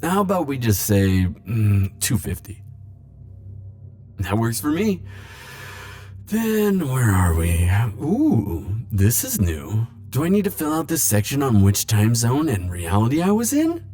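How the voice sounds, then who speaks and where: A man playing a defensive, lovelorn immortal in an ASMR roleplay, speaking hesitantly with stammers and halting phrases. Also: medium pitch, huge pitch swings, warm tone, soft background music. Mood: casual, wry. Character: flirtatious, defensive male immortal pining for Grim Reaper. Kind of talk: ASMR roleplay monologue to the listener.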